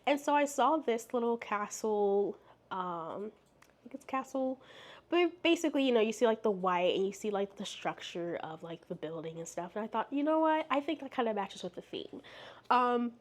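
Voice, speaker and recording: A woman's voice: fairly high pitch, big pitch swings, normal speed. A young woman book vlogger talking casually to camera, rambling with lots of fillers and restarts, a little congested from a cold. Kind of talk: casual chatty vlog.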